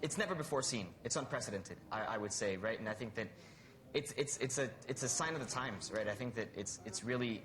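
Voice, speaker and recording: A young man with a medium-pitched voice gives his view on a panel. He talks conversationally and thoughtfully, often seeking agreement as he goes. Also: fairly quick, a bit bright. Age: young adult. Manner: thoughtful, frequently seeks agreement. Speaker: youth activist panelist. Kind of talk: panel discussion, conversational opinion. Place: live conference panel.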